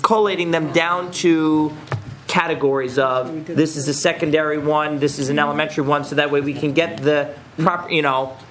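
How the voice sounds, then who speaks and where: A man's voice: on the high side, steady tone, normal speed, crowd noise. A man giving stammering, informal remarks at a public meeting, restarting often.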